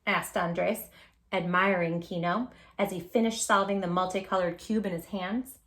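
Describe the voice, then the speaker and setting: A woman's voice: fairly low pitch, fairly even intonation, normal speed, a bit bright. A woman hosting a children's read-aloud, chatting to young listeners in an encouraging way and addressing them directly with questions. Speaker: host reading picture books aloud to children.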